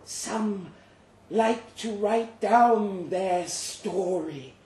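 A man voicing a sinister, condescending Frankenstein's monster doctor in a dramatic story reading, rasping, precise and deliberate. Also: high voice, a little mellow, voice pushed up. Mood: soothing, condescending. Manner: slow, deliberate, precise, patronising. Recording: echoey live room.

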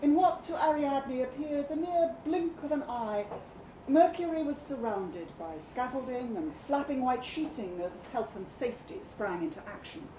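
A woman reading a short story aloud at a live event, with expressive, deliberate and theatrical narration. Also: high-pitched. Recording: echoey live room, background noise.

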